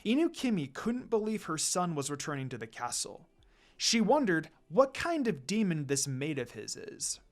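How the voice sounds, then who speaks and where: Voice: medium pitch, sing-song, normal speed. A narrator reading a fan fiction story aloud in a dramatic storytelling style.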